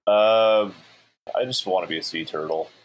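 Low-voiced man, halting and low-key. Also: poor audio. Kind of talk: casual banter with friends.

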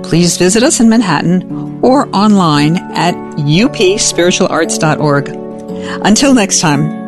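An announcer reads a scripted outro in a very animated, swooping intonation. Guitar music plays clearly beneath the voice. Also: medium pitch, unhurried.

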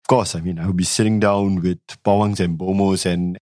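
A man's voice: deep voice, steady tone, fairly quick, darkish tone, dry recording, clean studio sound. A man from Singapore, an academic researcher answering interview questions thoughtfully, with frequent restarts. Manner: thoughtful, many restarts and hedging fillers.

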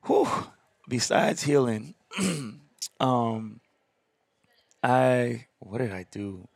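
A man with a raspy voice, talking as a podcast guest in casual, animated chat, repeating words and fillers as he goes. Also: medium pitch, very slow, no echo. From Hawaii. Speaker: podcast guest, dancer and singer.

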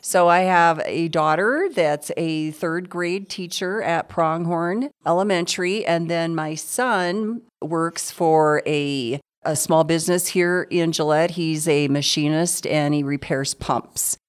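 A woman's voice: sits low, takes it slowly, hardly any pauses, clean tone. A woman in her sixties from South Dakota, a city council member answering interview questions in a friendly, chatty way. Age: 60s. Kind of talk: conversational interview.

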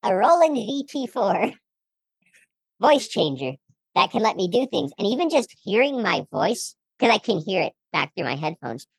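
A man's voice: high-pitched, sing-song, normal speed, vocal fry, a bit bright, husky, dry recording, voice pushed up, full character voice. A man voicing a young dragonborn girl for a tabletop game, speaking through a voice changer that makes him sound feminine. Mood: light, chatty.

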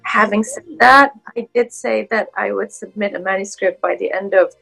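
A woman's voice: medium pitch, normal speed, gravelly, bright, forceful tone, slightly rough, rough recording. A woman whose first language is Arabic, speaking as a guest scholar in a casual academic conversation, with many fillers and restarts.

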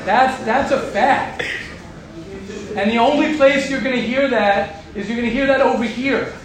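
A man teaching a group of young men, talking forcefully and repeating his points for emphasis. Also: very high-pitched, moderate pace. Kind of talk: emphatic religious talk to a group. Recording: very roomy echo with faint background hum.

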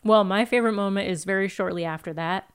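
A woman co-hosting a podcast in casual, animated and opinionated chat, acting out imagined lines as she recaps TV. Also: medium pitch, quick pace, clear, resonant tone. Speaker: podcast co-host.

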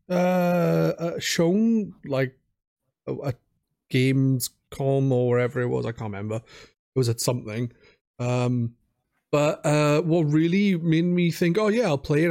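A man hosting a casual gaming podcast chat, speaking in a halting way and often restarting his words. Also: fairly high pitch, a little mellow. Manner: halting, repeats word starts. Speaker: podcast host.

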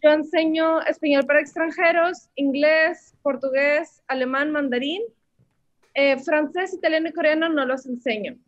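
Young Mexican woman, high voice, chatty guest, dry dull lo-fi sound. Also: fairly even intonation, moderate pace, no echo. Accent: Mexican Spanish. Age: 20s. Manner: chatty, storytelling, many fillers. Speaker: language teacher, guest. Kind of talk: casual interview answers.

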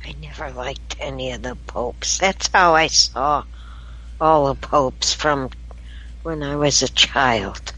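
A woman on a casual podcast chat, speaking in a measured, reflective way. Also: extremely low pitch, fairly bright tone, poor audio.

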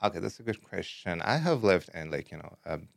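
A young man from Afghanistan, a guest in a casual interview, talking in a relaxed way with many "like, you know" fillers and easy laughs. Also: low voice, a little fast, creaky, no echo, clean studio sound.

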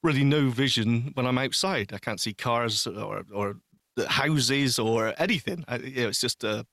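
A man co-hosting a podcast in casual, personal chat, speaking informally and haltingly as he thinks aloud. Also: medium pitch, a bit bright, dry recording, clean studio sound. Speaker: podcast co-host.

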